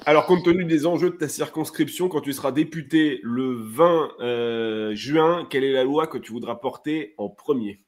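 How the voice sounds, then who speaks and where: A man's voice: medium pitch, normal speed. Male host, playful, stumbles over words, light noise behind. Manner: joking, stumbles and repeats words. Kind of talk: casual chat on a live stream.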